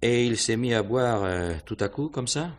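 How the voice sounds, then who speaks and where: A man's voice: mid-range voice, expressive, moderate pace, close to an everyday voice. An actor playing a courteous, probing police inspector in a 1950s-style French radio mystery, hesitant and musing as he questions. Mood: probing, thoughtful.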